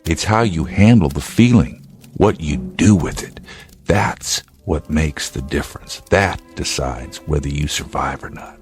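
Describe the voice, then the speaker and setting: An actor playing a steady, dryly wry state trooper in a naturalistic radio drama, speaking in a measured, laconic way. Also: male voice, rumbling low, soft background music. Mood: firm, earnest. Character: gruff, steady male state trooper, wryly humorous, protective.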